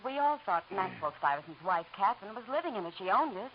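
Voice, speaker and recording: A woman acts as a composed female research assistant in a vintage radio drama. She speaks quickly, with poise and clipped urgency. The sound is muffled, with faint noise. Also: mid-range voice, very animated, lightly acted. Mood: earnest, urgent. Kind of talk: 1940s radio drama acting.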